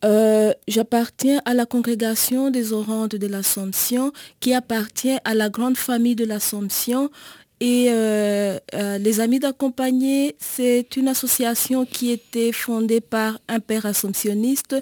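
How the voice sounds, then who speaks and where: A woman with a Congolese accent, an interview guest explaining her experience in a measured way with hesitant pauses. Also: a little high, fairly even intonation, dark tone, no echo. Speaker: nun and volunteer, interview guest.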